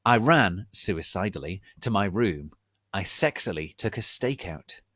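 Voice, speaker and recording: A man giving a theatrical dramatic reading of a story, deadpan about its absurd wording. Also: low-pitched, unhurried, no echo, studio quality. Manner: deadpan, reading misspellings and typos literally. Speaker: reader of online fan fiction.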